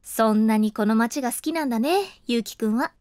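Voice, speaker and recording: A voice actress playing an overworked office woman who talks in a frank, expressive and flustered way, and also narrates the story. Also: a little high, brisk, dry recording, natural voice. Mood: chatty, flustered. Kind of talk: voice drama acting with inner narration. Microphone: close studio mic.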